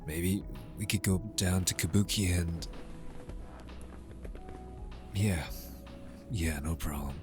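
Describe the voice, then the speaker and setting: A man playing a tender, wistful young lover in an intimate cyberpunk ASMR roleplay, hesitant and gently pleading. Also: deep voice, slow, pauses often, over music. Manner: soft-hearted, hesitant, intimate.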